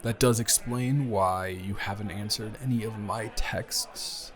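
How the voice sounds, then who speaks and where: A man's voice: lowish voice, a little slow, lightly acted. A man playing an awkward, joking, smitten young lover in an improvised intimate audio roleplay, hesitant and wordy with self-deprecating humor. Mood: awkward, probing.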